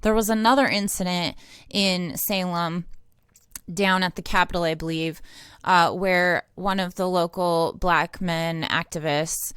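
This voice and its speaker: A woman's voice: lowish voice, fairly even intonation, unhurried, a bit bright, clear, resonant tone, no room sound, clean studio sound. An American woman giving impassioned, unscripted political commentary, blunt and indignant, swearing freely. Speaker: independent online political commentator and activist.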